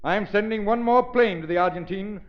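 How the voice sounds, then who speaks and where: A man's voice: high voice, fairly quick, natural voice. An actor playing an urgent, authoritative airline man in a formal, insistent 1930s radio style. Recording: muffled old 1930s radio recording, music behind.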